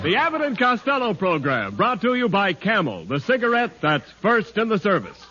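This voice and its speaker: An American man delivering a showy, punchy 1940s radio show opening and sponsor pitch. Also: huge pitch swings, normal speed. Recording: muffled old 1940s radio recording. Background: loud orchestra music.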